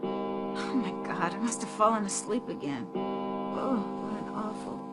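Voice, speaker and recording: An actress playing a frightened woman shopper trapped in a closed store, halting, pleading and repeating herself in a radio drama. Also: mid-range voice, animated, close to an everyday voice. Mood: panicked, dazed. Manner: breathless, pleading, halting and repetitive. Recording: background music under the voice.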